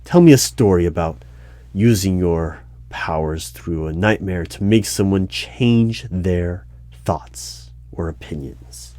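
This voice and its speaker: A man playing a dry, unflappable hiring manager in a one-sided ASMR roleplay job interview, asking measured, halting questions. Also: low voice, dark tone, dry recording. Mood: dry, probing. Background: computer keyboard typing and clicks.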